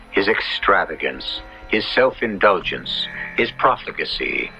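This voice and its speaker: An actor playing a grave storyteller, narrating a radio horror drama in formal, ornate and deliberate phrases. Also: male voice, on the low side. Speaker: narrator host. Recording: muffled vintage radio broadcast, faint music.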